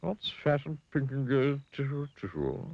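Bloke doing a snooty rude pig for a kids story, husky, swoops about, roomy sound. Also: male voice, mid-range voice, very animated, moderate pace, highs cut off, voice pushed up. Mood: worried. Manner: condescending, rude. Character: smug, sneering clever male pig. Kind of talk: children's storybook character voice.

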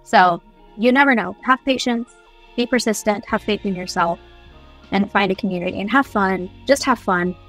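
A woman's voice: mid-range voice, moderate pace, fairly bright tone. Young woman, interview guest, chatty and enthusiastic, casual delivery over background music. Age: young adult. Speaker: guest voice actor. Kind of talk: casual video interview, giving advice. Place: small indoor room, on a video call.

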